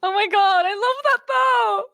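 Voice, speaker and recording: A woman from Scandinavia with a high voice, chatting eagerly and gushing over what she hears on a live stream. Her mic is close and dry, with no room around her. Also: measured pace, vocal fry, bright, forceful tone, no echo. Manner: enthusiastic, gushing, laughing. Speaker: role-play streamer. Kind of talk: casual chat on a live stream.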